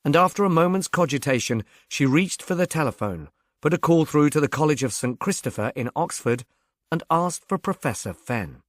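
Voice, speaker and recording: A man narrating a mystery novel in a measured, literary storytelling manner. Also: a little high, animated, dry recording, clean studio sound. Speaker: audiobook narrator.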